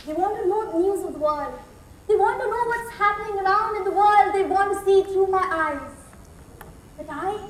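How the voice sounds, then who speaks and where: A woman delivering a dramatic soliloquy on stage, emphatic and theatrical, repeating phrases for effect. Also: very high voice. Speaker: contest performer. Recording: echoey hall, distant mic, faint noise.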